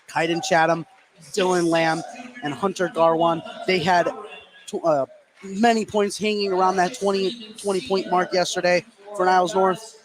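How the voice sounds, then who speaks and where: Higher-pitched male basketball play-by-play announcer. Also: rough recording. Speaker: play-by-play sports commentator. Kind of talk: live basketball radio commentary. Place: indoor school gym. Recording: crowd noise behind the voice. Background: gym crowd.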